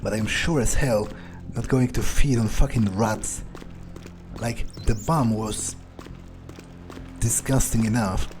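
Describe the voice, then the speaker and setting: A man's voice: fairly low pitch, slow pace. A man commentating a video game playthrough in character, musing to himself in a casual, dry way. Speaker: gamer playing in character. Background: faint game music.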